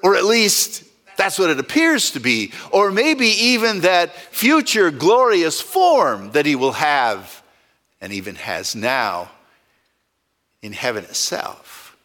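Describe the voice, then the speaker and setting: A man's voice: fairly high pitch, very animated, slow pace, pushed and bright. A male pastor preaching a sermon in a conversational way, asking the congregation rhetorical questions.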